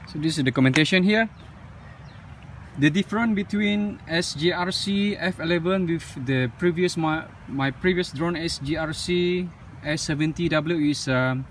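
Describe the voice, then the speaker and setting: Unscripted outdoor unboxing: man with a slightly higher voice, a bit far from the mic, with traffic noise behind. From Malaysia. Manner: unscripted, halting, with frequent "uh" and non-standard English grammar. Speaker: drone reviewer. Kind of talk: casual unboxing narration. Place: outdoors on pavement.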